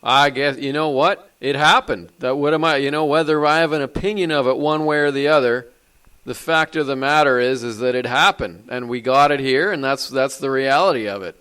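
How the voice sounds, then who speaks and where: A man hosting a call-in show, brisk and dry, dismissive of prank callers. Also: mid-range voice, fairly bright tone, clear, resonant tone.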